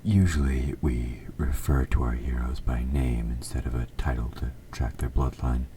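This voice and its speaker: Evil fantasy king for audio drama, played by a man: extremely deep, dark tone, measured courtly diction, smooth-talking with quiet menace. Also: fairly even intonation. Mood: sympathetic, formal. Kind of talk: scripted audio roleplay addressed to the listener. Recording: faint background noise.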